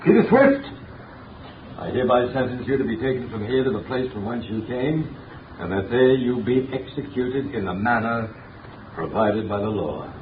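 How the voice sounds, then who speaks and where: A man's voice: mid-range voice, expressive, natural voice. A man playing a stern, formal judge in 1930s radio drama style. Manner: formal, measured pronouncements. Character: stern older male judge, formal and unshaken. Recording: tinny, echoey, distant, noisy 1930s radio.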